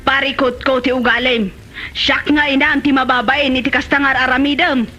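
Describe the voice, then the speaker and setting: A woman's voice: high voice, flat delivery, a little fast, bright, forceful tone, muffled recording, faint music behind. A woman playing an exasperated, scolding middle-aged mother in a Filipino comedy drama, with an Ilocano accent.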